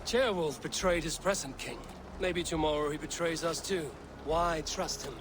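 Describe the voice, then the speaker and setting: A bold Viking warrior with a poet's bent, acted by a man in a video game. The voice is direct and slightly high, with clear ambient noise behind it. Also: moderate pace, natural voice. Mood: suspicious. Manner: direct, declaiming. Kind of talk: video game cutscene acting.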